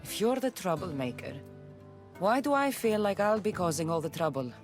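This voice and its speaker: An actress voicing a bold, wry mercenary warrior in a video game, confident and teasing. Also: female voice, fairly low pitch, sing-song, normal speed, soft background music. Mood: determined.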